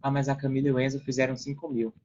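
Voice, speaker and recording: A man's voice: mid-range voice, monotone, racing, darkish tone, highs cut off. A man with a Brazilian accent explaining things casually as a podcast guest, acting out hypothetical quotes as he goes.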